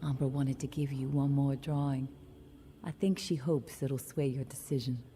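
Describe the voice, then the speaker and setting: Calm guarded lady survivor in a zombie game, deep soft-toned voice, earnest and measured, bit of noise behind her. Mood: wistful, earnest. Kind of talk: video game voice acting.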